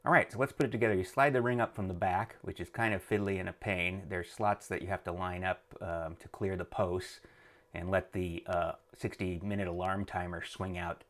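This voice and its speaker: A man with a low-pitched voice hosts a casual repair tutorial. He talks in halting phrases, musing to himself as his hands are busy. The delivery is unscripted and practical. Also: fairly even intonation, fairly bright tone. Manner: halting, musing aloud while working. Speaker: host of a repair tutorial channel. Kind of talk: casual hands-on how-to commentary.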